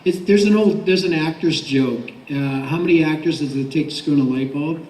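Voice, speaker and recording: Middle-aged male panelist, lightly high voice, loose anecdotal delivery, echoey room sound. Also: fairly quick, a little mellow, slight background noise. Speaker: voice director and actor, panelist. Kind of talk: casual convention panel talk. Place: large indoor convention panel room. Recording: roomy convention hall, distant mic.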